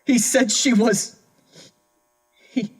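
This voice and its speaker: An actor playing a guilt-ridden, frightened young man in an audio horror drama, pleading in halting, stammering phrases. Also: very high-pitched, fairly even intonation, slight background noise, heavily acted. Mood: fearful confession. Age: young adult. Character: distraught, guilt-ridden young man cowed under interrogation.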